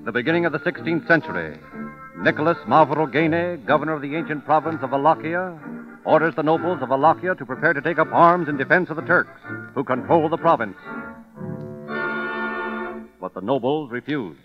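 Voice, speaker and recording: A man's voice: a little high, expressive, fairly quick. A man narrating scripted stories as an announcer in dramatic, emphatic old-time radio style. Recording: dull old radio recording with music. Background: loud music.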